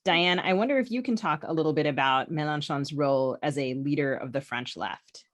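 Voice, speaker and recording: Low-voiced woman hosting a podcast. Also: fairly quick. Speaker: podcast co-host. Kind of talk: conversational interview questioning.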